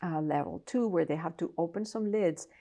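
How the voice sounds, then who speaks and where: A woman's voice: lowish voice, moderate pace, dark tone. A woman from Colombia with a Colombian Spanish accent, a professor answering interview questions in an earnest, explanatory way with frequent restarts. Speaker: professor and researcher, interview guest.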